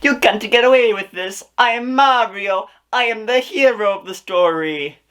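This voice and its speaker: Casting: male actor as high, bright cartoon plumber hero, distraught, pleading, stammers and repeats himself. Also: moderate pace, clean tone. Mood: defiant.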